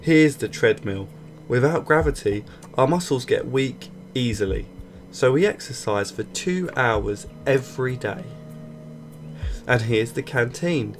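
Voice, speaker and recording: A man's voice: medium pitch, animated, a little slow. A man voicing a friendly woman astronaut from a children's storybook, welcoming and explanatory as a guide. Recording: music bed behind voice.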